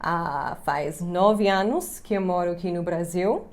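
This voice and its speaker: A woman's voice: on the low side, a little slow, a little mellow. An American woman from Chicago, a YouTube host in her thirties, chatting casually in Portuguese with English slipped in, laughing easily. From United States, Chicago. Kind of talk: casual chat on camera.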